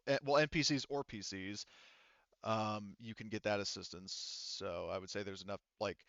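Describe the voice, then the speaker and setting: A man with a clean, resonant voice runs a tabletop game as its game master. He talks casually and works things out aloud, haltingly. The audio is very dry and a little muffled. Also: fairly low pitch, fairly bright tone, clear, resonant tone, no echo. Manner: hesitant, thinking aloud.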